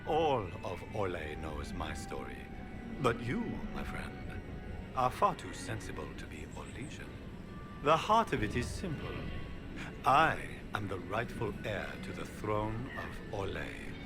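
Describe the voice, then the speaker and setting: A proud noble man of action from a fantasy game. His low voice swings widely with grandiose, courtly relish and ambition. Music sits clearly behind him. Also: sing-song, measured pace. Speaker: duke claiming the throne. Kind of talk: video game dialogue acting.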